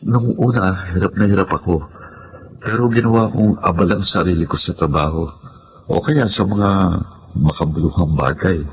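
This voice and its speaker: A man's voice: deep voice, normal speed, muffled recording. A man playing a polite, hardworking young Filipino suitor in a drama, courteous and sincere. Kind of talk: Filipino radio-style drama acting. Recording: some background noise.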